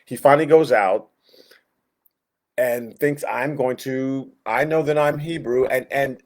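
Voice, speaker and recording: A man teaching Sunday school in a conversational, halting way, reading verses and explaining them, often backing up to rephrase. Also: mid-range voice. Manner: conversational, halting, backtracks and rephrases, acts out inner thoughts. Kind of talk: informal Bible lesson, reading verses and explaining.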